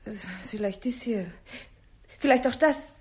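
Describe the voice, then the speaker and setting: Woman as a high-voiced, timid waitress. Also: unhurried, a little mellow, natural voice. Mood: anxious, unsure. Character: timid, obedient hotel waitress. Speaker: radio drama actor. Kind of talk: 1960s German radio crime drama acting. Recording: hissy old radio play recording.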